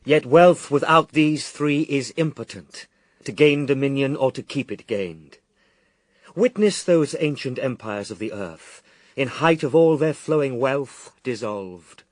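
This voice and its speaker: A British actor playing a solemn, steadfast Son of God in a classical verse radio drama, speaking in measured, earnest declamation. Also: male voice, mid-range voice, animated, no room sound, close to an everyday voice. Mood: resolute, exhorting.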